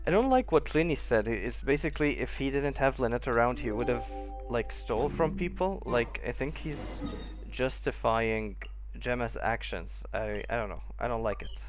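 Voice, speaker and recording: A woman's voice: very deep voice, expressive, normal speed, soft background music. A woman streamer giving casual, reactive commentary while she plays through a video game.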